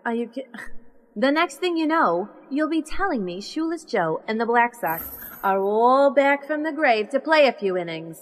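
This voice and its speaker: An actress playing a highly emotional woman held captive, defiant and afraid, in an audio drama. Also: big pitch swings, moderate pace. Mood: mocking disbelief. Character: defiant, scornful female captive turning terrified. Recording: long reverb with music.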